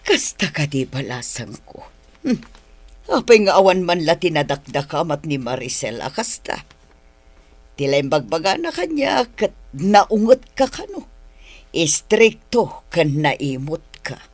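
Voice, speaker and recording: A pious, inquisitive older auntie in a radio drama. She speaks with an Ilocano accent and lively swings of pitch, motherly and probing, in a dry studio sound. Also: a little slow, no room sound, heavily acted. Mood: concerned, probing.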